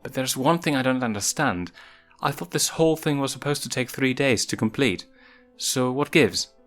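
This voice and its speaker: A man voicing an earnest young lion cub prince with a casual, halting, boyish way of talking. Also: medium pitch, a bit bright, voice pushed up, lightly acted. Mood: curious. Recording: music behind the voice.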